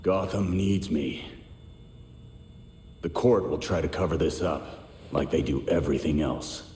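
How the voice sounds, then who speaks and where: An extremely low, brooding male vigilante gives terse, grim game narration. He sounds wry and determined. The sound echoes as in a hall, over faint noise. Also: a little slow, mellow. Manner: terse, clipped phrases, grim. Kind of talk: dramatic scripted game narration.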